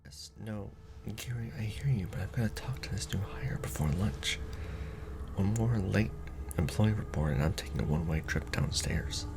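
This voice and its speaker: A man playing a jaded, sardonic manager at a secret society in an ASMR roleplay, speaking in a dry, world-weary deadpan. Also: on the low side, normal speed, vocal fry, background noise. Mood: harried, exasperated. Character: jaded, sardonic male Illuminati manager briefing a new recruit.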